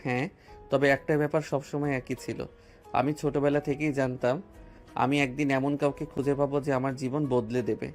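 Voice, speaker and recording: A man's voice: mid-range voice, flat delivery, a little fast, close to an everyday voice. A man playing a teasing, tender young husband. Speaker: voice actor. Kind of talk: audio drama acting. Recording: music bed underneath.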